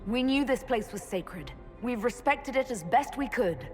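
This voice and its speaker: A woman's voice: a little high, animated, a little slow. An actress playing a resolute female elven leader who speaks in an earnest, direct way. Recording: long hall reverb. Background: faint music.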